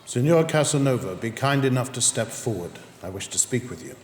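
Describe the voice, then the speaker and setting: An actor playing a courteous, formal English magistrate handing down judgement in measured period-drama speech. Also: male voice, mid-range voice, lively intonation, soft background music, close to an everyday voice. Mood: solemn, stern. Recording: long hall reverb, background noise.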